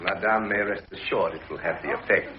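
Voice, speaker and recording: Low-voiced, discreet manservant played by an actor, echoey. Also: male voice, a little fast, crowd noise, natural voice. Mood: obliging, conspiratorial. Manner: deferential. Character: obedient, discreet servant of a scheming lady. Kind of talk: 1950s British radio drama acting. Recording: echoey muffled vintage radio recording.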